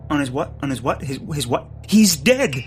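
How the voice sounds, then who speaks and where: Excitable amateur-sleuth scientist: indignant, flustered, very lively delivery, comic audio drama. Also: male voice, a little high, moderate pace, soft background music. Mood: baffled. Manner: flustered, literal-minded, sputtering.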